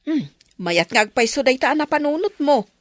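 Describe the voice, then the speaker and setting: A woman's voice: sits high, lively intonation, moderate pace, no room sound, studio quality. A woman with an Ilocano accent playing a kindly, encouraging madam in a radio drama. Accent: Filipino, Ilocano. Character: kindly, encouraging adult woman, addressed as ma'am.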